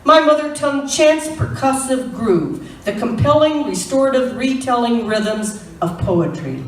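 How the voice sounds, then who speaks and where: A woman's voice: a little high, expressive, measured pace, a little hiss or hum. Woman performing rhythmic spoken-word poetry. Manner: rhythmic, emphatic list-building delivery. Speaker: poet. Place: small venue at a poetry reading. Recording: echoey room, mic at a distance.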